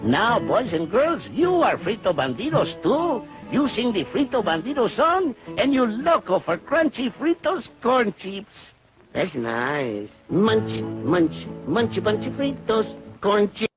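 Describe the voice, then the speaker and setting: An actor playing a cheerful male cartoon bandit mascot with an exaggerated Mexican accent, in a vintage animated TV ad. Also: high voice, a little slow. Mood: jolly. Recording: lo-fi old ad with loud music.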